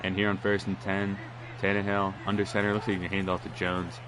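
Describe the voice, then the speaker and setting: Congested low-voiced man calling a football game. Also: completely flat delivery, brisk, background noise. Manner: casual, rambling, spikes up on big plays. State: congested, nasal. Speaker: gaming commentator. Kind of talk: play-by-play commentary of a football video game.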